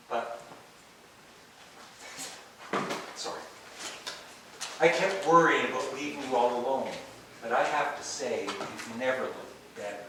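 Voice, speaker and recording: A man's voice: medium pitch, lightly acted. A man rehearsing a stage play as a bewildered, exasperated middle-aged husband, theatrical and swinging between muttered disbelief and indignant outbursts. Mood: baffled, hurt. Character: exasperated, bewildered husband jealous of his wife's fantasy lover. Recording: distant, echoey room with background noise.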